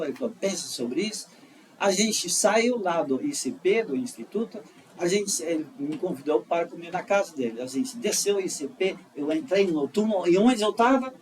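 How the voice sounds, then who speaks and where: Halting Dutch-accented man telling his story. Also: high voice, darkish tone. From Netherlands. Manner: halting, with frequent restarts and "é" fillers. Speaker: guest. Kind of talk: casual conversational storytelling. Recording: slightly distant mic with faint noise.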